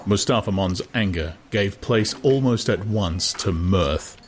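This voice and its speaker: A man's voice: lowish voice, unhurried. A man narrating a literary novel as a dramatized audiobook. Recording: clear background noise.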